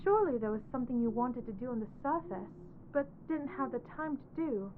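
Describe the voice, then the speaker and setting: A woman voicing a lovestruck, clingy undead corpse bride in an ASMR audio roleplay, soft, earnest and pleading. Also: fairly high pitch, lively intonation, a little fast, phone-quality sound, slight background noise, close to an everyday voice. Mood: coaxing, tender.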